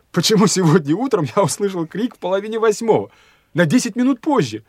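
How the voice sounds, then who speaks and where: A man's voice: sits high, very animated, brisk, a little mellow, slightly rough, no room sound, studio quality, heavily acted. An actor playing a troubled, insistent young man who fears for his sanity, in an audio drama. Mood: puzzled. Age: young adult. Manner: agitated, insistent. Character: troubled young man fearing he is going mad. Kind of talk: theatrical audio drama dialogue.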